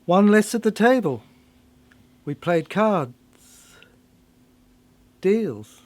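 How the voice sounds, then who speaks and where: Theatrical spoken word narrator, mid-pitched voice that swings all over, emphatic, repeats phrases. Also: big pitch swings, very slow, darkish tone. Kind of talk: dramatic spoken-word narration of a cryptic science-fiction monologue.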